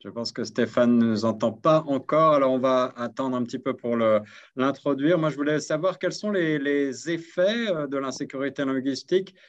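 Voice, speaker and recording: A man moderates a radio panel in a mid-pitched voice. He speaks haltingly and often restarts his phrases. The recording sounds dull and lo-fi. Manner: hesitant, halting, with many restarts. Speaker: radio show host.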